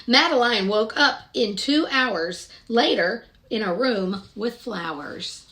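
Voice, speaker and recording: Bright, high-voiced woman reading to children. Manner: expressive, deliberate, child-directed delivery. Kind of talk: picture-book read-aloud with questions to young listeners.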